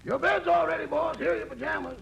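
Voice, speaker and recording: A man playing a cheeky, wisecracking valet in a 1940s radio comedy, with a raspy, gravelly voice. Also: extremely high pitch, fast talker, fairly bright tone, heavily acted. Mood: obliging. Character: cheeky, wisecracking male valet to his boss. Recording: noisy, crackly 1940s radio recording.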